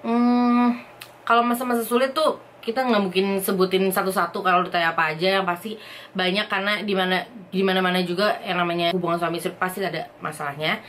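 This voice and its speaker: A lively young woman from Indonesia answers viewer questions in a casual home vlog. Her voice sits at a middle pitch and runs in quick, excited bursts. A faint background hiss sits under the talk. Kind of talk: casual chat.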